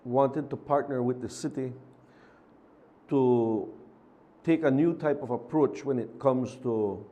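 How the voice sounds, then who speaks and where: A man who is a city mayor, speaking as a TV studio guest in measured, formal terms. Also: mid-range voice, warm tone, muffled recording. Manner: measured, formal civic phrasing. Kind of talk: TV interview guest.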